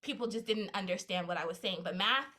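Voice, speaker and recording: Bright, laughing woman panelist. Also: medium pitch, fast talker. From United States. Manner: warm, self-deprecating, laughs easily. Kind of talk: casual panel discussion.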